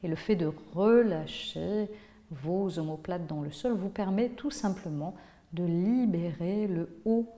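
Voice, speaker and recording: A woman teaching yoga nidra, guiding a relaxation in a measured, instructive way with pauses between phrases. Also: on the low side, mellow. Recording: long hall-like reverb on voice.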